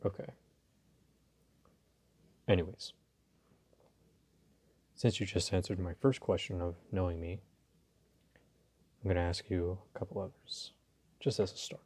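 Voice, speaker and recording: Audio roleplay casting: male actor as a low-pitched male crime boss, gentlemanly, dominant, flirtatious, unhurried. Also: fairly even intonation, husky. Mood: calm, probing. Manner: polite, unhurried, teasing, hesitant phrasing. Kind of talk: intimate audio roleplay addressed to the listener.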